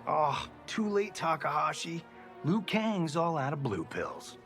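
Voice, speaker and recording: A cocky Hollywood action star in game banter. The voice is fairly high and a little gravelly, brash, slangy and very animated. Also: male voice, takes it slowly, faint music behind, heavily acted. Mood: smug. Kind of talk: video game fight banter.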